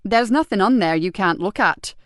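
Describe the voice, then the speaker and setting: A woman's voice: mid-range voice, lively intonation, moderate pace, a bit bright, no room sound, studio quality, close to an everyday voice. A woman voicing a mild, absent-minded middle-aged man who talks plainly and openly. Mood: easygoing.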